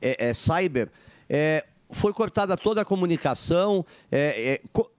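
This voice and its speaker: Higher-voiced host, dry studio sound. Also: male voice, lively intonation, a little slow, no room sound. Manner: hesitant, searching for words. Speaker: radio show host. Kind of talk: interview questioning.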